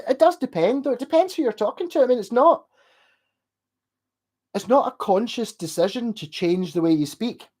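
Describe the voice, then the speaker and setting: A man's voice: a little high, darkish tone. Middle-aged man, Glasgow Scottish accent, chatty, rambling, casual. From Scotland. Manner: rambling, chatty, swears and laughs, slows down when asked. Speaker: streamer reading chat. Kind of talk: casual chat.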